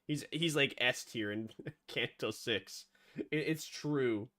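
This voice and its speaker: A streamer chatting through a game stream in a joking, rambling way, with a voice they call monotone. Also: medium pitch, takes it slowly. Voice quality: self-described monotone voice. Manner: joking, rambling, often restarts. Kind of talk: casual game reaction stream.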